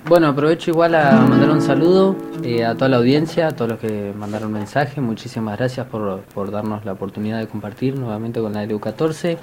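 A young man, a musician guest on a radio show, soft-spoken and a bit hesitant. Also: medium pitch, fairly quick, few pauses, music in the background. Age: young adult. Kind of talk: radio interview chat.

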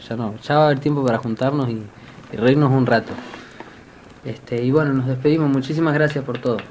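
A young man, a musician guest on a radio show, soft-spoken and a bit hesitant. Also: mid-range voice, a little hiss or hum. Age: young adult. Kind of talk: radio interview chat.

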